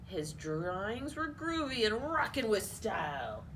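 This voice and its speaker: A woman hosting a children's storytime, reading a picture book aloud in an expressive, playful way. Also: mid-range voice, unhurried, slight background noise. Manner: animated, expressive, playful asides. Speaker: children's storytime host at a library.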